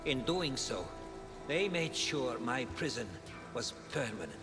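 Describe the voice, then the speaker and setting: A man's voice: mid-range voice, lively intonation, a little slow, lightly acted. A man playing a weary, tormented, brooding god of invention in a video game cutscene. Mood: grave, bitter. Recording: loud game music underneath.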